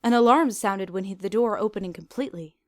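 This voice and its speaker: A woman reading fan fiction aloud as an expressive story narrator. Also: medium pitch, quick pace, no echo, studio quality.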